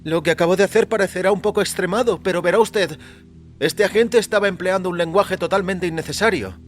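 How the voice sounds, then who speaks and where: A man's voice: high voice, fairly quick, pitched above the performer's own voice, close to an everyday voice. A mild little man with a raised voice, acted by a man, fussy and very courteous as he justifies himself in an audio story. Music plays underneath. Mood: polite, self-justifying. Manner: courteous, fussily explanatory. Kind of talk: audio fiction character acting. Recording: music behind the voice.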